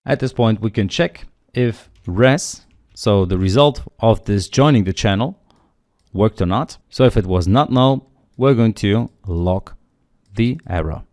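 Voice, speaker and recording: A man's voice: fairly low pitch, a little slow, a little mellow, no room sound, studio quality. A man teaching a coding tutorial, steadily explaining each step aloud while working through the code. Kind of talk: screen-recorded coding walkthrough.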